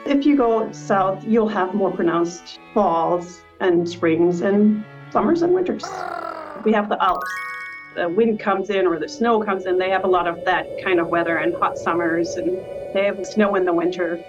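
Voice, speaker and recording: A woman's voice: on the low side, measured pace, muffled recording, over music. Friendly woman guest. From northern Germany. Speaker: guest, language program director. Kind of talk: casual interview.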